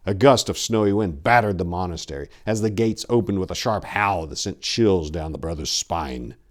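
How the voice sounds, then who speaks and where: A man's voice: low voice, animated. A man narrating in a theatrical, brooding style, like a dramatic story reading. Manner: theatrical, brooding, deliberate delivery. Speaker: narrator and channel host.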